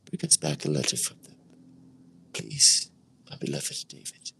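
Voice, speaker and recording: A man voicing a gentle older father sending his son off with food. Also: rumbling low, measured pace, gravelly, breathy edge, full character voice. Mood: tender.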